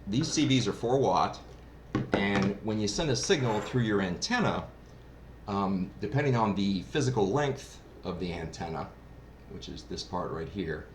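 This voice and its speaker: A man giving a casual, unscripted gear review in a rambling, explanatory way, like a hobbyist who is new to it. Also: low-pitched. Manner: rambling, explanatory, self-described novice. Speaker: product reviewer. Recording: roomy echo, clear background noise.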